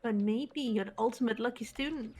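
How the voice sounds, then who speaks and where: A woman's voice: on the high side, fairly quick, darkish tone. A woman chatting casually and teasingly with friends during a game playthrough. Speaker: playthrough cast member. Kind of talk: casual chat. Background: faint game music.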